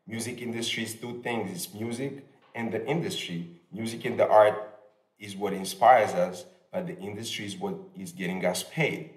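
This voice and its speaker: A middle-aged man giving an informal, emphatic address with some street slang, played back over loudspeakers in a room. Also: fairly low pitch, fairly even intonation, moderate pace. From Balkans. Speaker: hip hop artist. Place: video played through speakers in a lecture room. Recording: echoey, distant loudspeaker playback of video.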